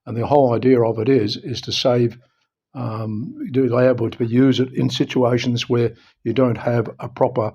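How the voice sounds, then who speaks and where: A man with an Australian accent giving an informal, unscripted how-to talk in a halting, repetitive, explanatory way, recorded a little dull on a device microphone. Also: medium pitch, fairly even intonation, mellow, breathy edge. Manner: halting, explanatory, often repeating himself. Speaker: presenter of a phone how-to video.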